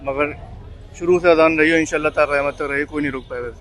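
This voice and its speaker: A man's voice: on the high side, fairly even intonation, fast, a bit bright. A man giving his opinion firmly and insistently in a street interview. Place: outdoors on a city street. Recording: outdoor street with traffic behind.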